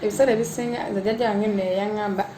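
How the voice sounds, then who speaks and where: A woman's voice: mid-range voice, steady tone, rapid-fire, roomy sound, noisy background. A woman doing a teasing, giggly comic monologue.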